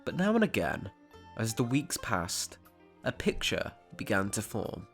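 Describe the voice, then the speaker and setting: A man's voice: fairly low pitch, huge pitch swings, unhurried, a bit bright. A man narrating a scripted dramatic story as its storyteller. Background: background music.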